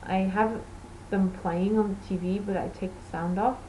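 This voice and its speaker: A woman's voice: medium pitch, steady tone, moderate pace, dark tone, a little hiss or hum, rough recording. A woman from Portugal, a native Portuguese speaker, hosting a knitting podcast in rambling, self-correcting casual chat.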